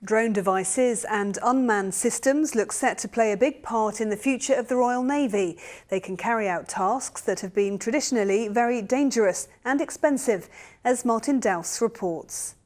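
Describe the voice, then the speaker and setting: A woman's voice: fairly high pitch, normal speed, darkish tone. A woman with a British accent presenting scripted regional news as the studio anchor.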